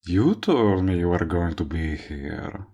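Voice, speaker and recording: A man's voice: sits low, expressive, takes it slowly, dark tone, breathy edge, lo-fi sound, heavily acted. A man playing a cocky, lovestruck villain in an audio roleplay, teasing and coaxing the listener he is wooing. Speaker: voice actor. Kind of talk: intimate audio roleplay acting addressed to the listener.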